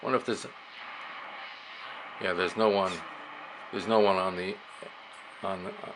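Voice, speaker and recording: A man giving a casual hands-on demonstration as a radio operator, halting and muttering to himself as he works. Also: deep voice, fairly even intonation. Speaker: amateur radio operator demonstrating vintage gear. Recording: clearly noisy room recording.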